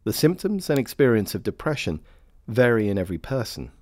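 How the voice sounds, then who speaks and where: A man reading scripted informational narration for a training film in a measured, formal manner. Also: medium pitch, sing-song, darkish tone, no echo, clean studio sound. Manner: measured, formal, clearly phrased.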